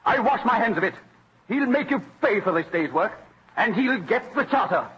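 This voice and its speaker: An actor playing a pompous, indignant colonial colonel in an old radio drama, imperious and exclamatory. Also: male voice, high-pitched, animated, moderate pace, slightly rough, heavily acted. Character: blustering, indignant English colonel demanding a colonial charter. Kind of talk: 1940s radio acting. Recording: muffled, noisy old radio recording.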